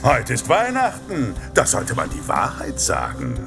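An actor playing a menacing, sadistic vigilante posing as Santa, taunting deliberately with mock friendliness in a horror radio play. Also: male voice, mid-range voice, huge pitch swings, creaky, over music, full character voice. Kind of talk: German horror audio drama acting.

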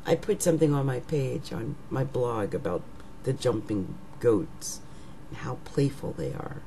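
A middle-aged woman with a rough, raggedy voice, talking casually to her webcam at home as an astrologer, slow and musing, trailing off into whispers. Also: very deep voice, expressive, warm tone, a little hiss or hum. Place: living room at home. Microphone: webcam at desk.

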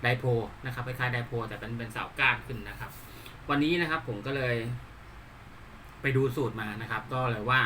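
A man giving an unscripted hands-on tutorial, explaining politely in a halting, repetitive way. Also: medium pitch, sharp tone, a little hiss or hum. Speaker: hobbyist presenter demonstrating a DIY build.

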